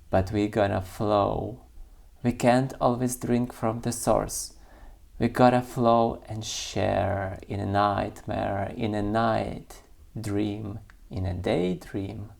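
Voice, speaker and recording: A man whose first language is not English, reading his own poem and then musing about it slowly and hesitantly, searching for words. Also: on the low side, gravelly, darkish tone. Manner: slow, searching, hesitant with many pauses. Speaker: poet and channel creator.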